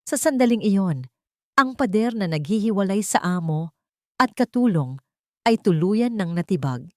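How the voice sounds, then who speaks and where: A woman's voice: mid-range voice, expressive, a little slow, no echo, studio quality. A woman reading a scripted dramatic story as an audiobook narrator.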